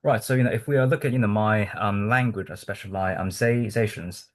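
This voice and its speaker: A man from Hong Kong, a native Cantonese speaker, giving an academic presentation to a research group, halting with many ums and restarts. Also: lowish voice.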